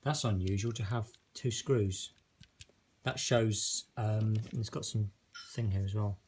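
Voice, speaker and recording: Casting: low-pitched male product reviewer, informal and tentative, clear background noise and clicks. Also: fairly even intonation, measured pace, darkish tone. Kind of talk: casual unboxing and review commentary. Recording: noisy recording with handling clicks.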